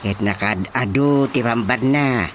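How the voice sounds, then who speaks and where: A Filipino man playing an indignant, scheming village husband in a radio comedy drama, grumbling in a drawn-out, animated way. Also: medium pitch, slight background noise, natural voice. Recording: music bed under the dialogue.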